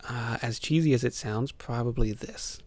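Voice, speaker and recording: A young man from Ohio hosting a soft-spoken ASMR Q&A, answering questions hesitantly with many ums. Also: mid-range voice, moderate pace, no echo. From Ohio, USA. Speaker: ASMR channel host.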